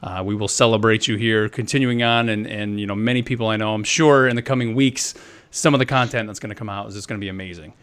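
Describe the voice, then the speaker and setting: A man's voice: fairly low pitch, steady tone, quick pace, fairly bright tone, clear, resonant tone. A man hosting a live gaming podcast, talking in an upbeat, chatty way. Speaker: podcast host.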